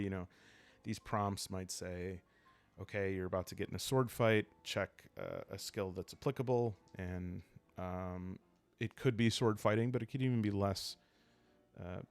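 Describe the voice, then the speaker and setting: A man calmly explaining a solo roleplaying game in a soft-spoken, unhurried ASMR style, hesitating now and then as he thinks aloud. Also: low voice, vocal fry. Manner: calm, unhurried. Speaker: host of a solo roleplaying playthrough.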